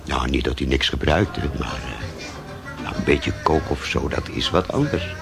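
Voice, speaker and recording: A man's voice: extremely low pitch, measured pace, creaky, music in the background, heavily acted. An actor playing a talkative, cynical barman in a naturalistic radio drama, speaking in a hesitant, rambling way. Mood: reflective, halting. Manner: hesitant, rambling, with self-corrections. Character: talkative café barman, cynical, fond of missing bandmate.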